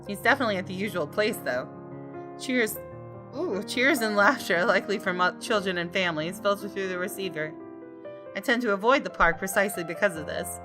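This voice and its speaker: A woman, a gaming streamer reading visual novel narration aloud in an expressive, dramatic way. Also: mid-range voice, fairly quick. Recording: music behind the voice. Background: game music.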